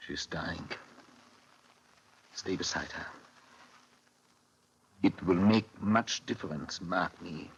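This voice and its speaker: An actor playing a grave, commanding older doctor and vampire hunter in a 1930s radio drama, solemn and emphatic. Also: male voice, deep voice, slow, long pauses, slightly rough, full character voice. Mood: grave, resigned. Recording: dull, noisy 1930s radio recording.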